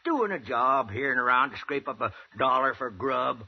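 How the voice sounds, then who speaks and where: An actor playing an eager, toothless old American gold prospector in a 1950s radio western, with a gravelly, cackling voice and a folksy, rambling drawl. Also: male voice, mid-range voice, expressive, moderate pace. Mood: wry, stubborn. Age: older. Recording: muffled, hissy old radio recording.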